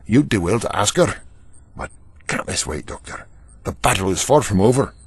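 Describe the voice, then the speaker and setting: Man as a stern, husky official. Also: fairly low pitch, lively intonation, normal speed, no echo. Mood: grave, insistent. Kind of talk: sci-fi audio drama acting.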